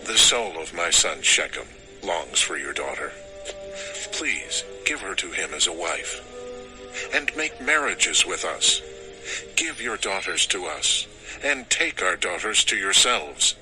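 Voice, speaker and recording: Slow, deep-voiced ancient ruler, persuasive. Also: male voice, sing-song, over music, heavily acted, rough recording. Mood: courteous pleading. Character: dignified middle-aged male ancient ruler pleading for his son. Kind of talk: dramatized audio Bible acting.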